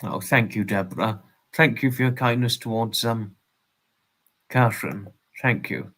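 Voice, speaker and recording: A man's voice: on the low side, unhurried, fairly bright tone. Effusively polite young man hosting a livestream. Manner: effusively polite, wordy, formal phrasing. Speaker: livestream host. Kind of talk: casual livestream chat, reading out and answering viewers' comments.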